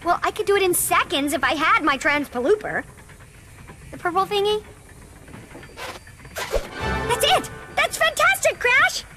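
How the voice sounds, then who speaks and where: A woman's voice: very high-pitched, big pitch swings, unhurried, pauses often, bright, forceful tone, soft background music, exaggerated voice. A woman voicing a perky, brainy young bandicoot girl, a plucky little sister in a cartoon video game, with a peppy girlish delivery. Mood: excited.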